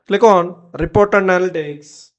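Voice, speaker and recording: A tutorial presenter narrating step-by-step software instructions in short, clipped commands. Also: medium pitch, moderate pace, highs cut off.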